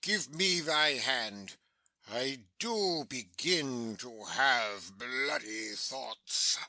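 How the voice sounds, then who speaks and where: A pompous, blustering drunken butler acted by a man lords it over his companions. His voice is bright and forceful, his pace unhurried, in theatrical Shakespearean audiobook style. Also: mid-range voice, animated, no room sound, studio quality, full character voice. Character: drunken, blustering butler who fancies himself king. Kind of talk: dramatic Shakespearean audiobook reading.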